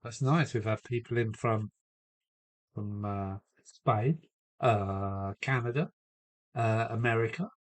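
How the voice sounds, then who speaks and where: Slow-talking man with a cold. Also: lowish voice. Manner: chatty, replies to viewer comments and tries out halting Spanish phrases. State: has a cold. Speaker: model railway live streamer. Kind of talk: casual live-stream chat with viewers. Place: home loft workshop.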